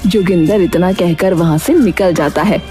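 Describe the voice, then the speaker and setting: A woman's voice: on the low side, moderate pace, warm tone. A woman narrating a scripted cartoon story in a dramatic storytelling style. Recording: music clearly under the voice.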